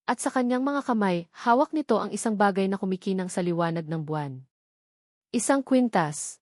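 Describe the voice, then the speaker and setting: A woman reads a dramatic story in a steady, measured way, her pitch sitting in the middle range. The recording is close and completely dry, with no room echo. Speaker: narrator. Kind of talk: scripted audio drama narration.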